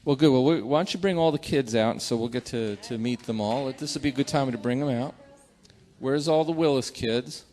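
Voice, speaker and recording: A man's voice: mid-range voice, brisk, a little mellow. A man hosting a live stage show in a casual, conversational style. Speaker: show host. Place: theater stage before an audience.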